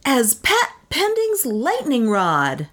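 A woman voicing an eccentric, boastful male inventor in a storybook, excitable and bashful, with grandiloquent mangled long words. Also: high voice, normal speed, pitched above the performer's own voice. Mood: grandly eager.

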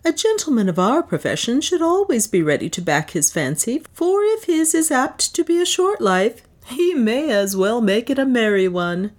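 Woman voicing a swaggering, lively male adventurer. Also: a little high, normal speed, hardly any pauses, voice pushed up. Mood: hearty swagger. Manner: bold, careless, commanding. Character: swaggering male adventurer posing as a rich slave trader.

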